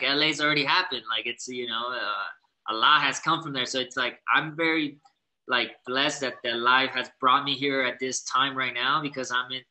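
A man's voice: medium pitch, poor audio. A young adult man, a professional skateboarder, answering casually over a video call, full of "like" and "you know". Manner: relaxed, chatty, frequent "like" and "you know". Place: indoor living room. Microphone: laptop webcam on a video call.

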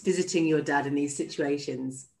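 A woman's voice: low-pitched, steady tone, moderate pace, gravelly, darkish tone, slight background noise. A middle-aged woman hosting an interview, putting conversational questions to her guest. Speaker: interview host. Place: room at home, on a video call. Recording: echoey room on video call.

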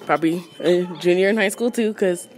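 A woman's voice: lowish voice, a little fast. A teenage American young woman chatting casually as an interviewed student, self-deprecating. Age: teens, high school junior. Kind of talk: casual chat. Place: tiled room in a school. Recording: noisy camera audio, slightly distant.

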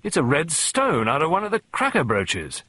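A man's voice: on the high side, huge pitch swings, normal speed, bright, forceful tone, slightly rough, no room sound, pitched above the performer's own voice, heavily acted. A man voicing a hearty, grumbling older colonel and country squire in an audiobook. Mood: indignant, blustering. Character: blustering, good-humoured older English colonel, country squire. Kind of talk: audiobook character voice.

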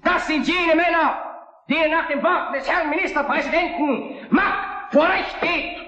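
An actor playing an indignant liberal opposition deputy in a German historical radio play, declaiming solemn accusations with heavy emphasis. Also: male voice, extremely high pitch, measured pace, sharp tone, dull audio, full character voice. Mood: righteous, accusing. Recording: echoey parliament chamber.

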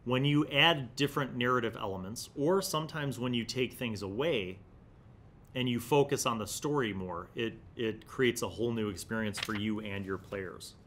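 Male review host, 40s, mid-pitched, emphatic and enthusiastic, reads aloud and comments casually, faint rumble underneath. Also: moderate pace, a bit bright. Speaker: host of a tabletop gaming magazine review series. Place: small indoor studio room. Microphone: desk microphone close in front.

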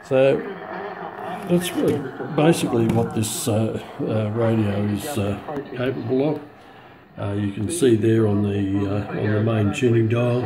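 Aussie guy, lowish voice, casually showing off gear at home, lots of pauses, chatter in the background. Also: vocal fry, rough recording. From Australia. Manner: halting, hesitant delivery with frequent pauses. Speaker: radio enthusiast presenting a receiver. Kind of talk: casual unscripted product demonstration. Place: home kitchen, indoors.

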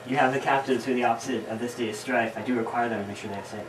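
Fast-talking, commanding nobleman acted by a man, distant mic. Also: medium pitch, steady tone, soft background music, close to an everyday voice. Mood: stern. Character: stern, commanding Duke of Albany, indignant male noble. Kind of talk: amateur Shakespearean stage acting. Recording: distant mic, noisy amateur recording.

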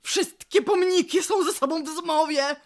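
A woman playing a grieving, vengeful willow tree in an audio drama, with a tearful, theatrical delivery. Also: very high-pitched. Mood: furious, accusing. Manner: theatrical, tearful, drawn-out lament. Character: weeping willow tree, grieving, vengeful and bitter female spirit.

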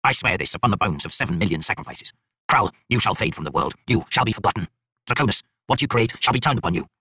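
A man voicing an arrogant, bitter tyrant High King with a raspy, theatrical, scornful delivery in an audiobook. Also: expressive, quick pace, sharp tone, no echo, exaggerated voice. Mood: cursing, vengeful.

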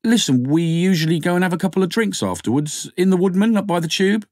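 A man's voice: high-pitched, expressive, quick pace, darkish tone, no echo, studio quality, pitched above the performer's own voice. A man voicing a keen, slightly awkward thirties male doctor in an audiobook, chatty and tentative. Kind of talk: audiobook character dialogue.

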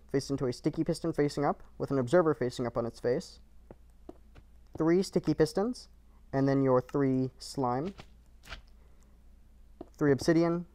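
A man's voice: mid-range voice, a little mellow, dry recording. A man narrating a game build tutorial in short, clipped, matter-of-fact instructions, recorded as a voice-over.

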